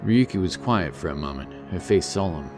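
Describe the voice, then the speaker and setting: A man's voice: low voice, expressive, brisk, over music. A man giving scripted narration for a fantasy audiobook, in the role of storyteller.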